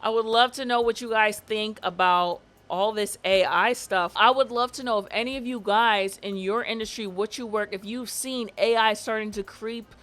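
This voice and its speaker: Woman ranting on her vlog, sarcastic and lively, voice a little high. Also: normal speed, hardly any pauses. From family from Barbados. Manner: animated, sarcastic, laughs often. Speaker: YouTube commentator. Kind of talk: casual commentary vlog.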